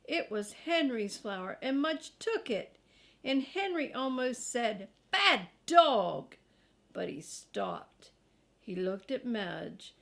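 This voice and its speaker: A woman's voice: medium pitch, gravelly. An older woman reading a children's storybook aloud as a grandmother, expressively and with casual asides.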